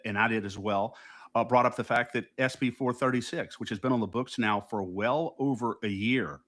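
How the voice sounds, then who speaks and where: A man, co-hosting a political talk show, talking emphatically and indignantly with frequent restarts. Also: on the low side. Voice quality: loud voice. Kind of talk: casual political discussion.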